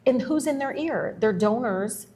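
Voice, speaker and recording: A woman's voice: fairly high pitch, animated, unhurried, mellow, slightly rough. A woman politician answering interview questions, earnest and plain-spoken, telling anecdotes. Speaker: politician guest.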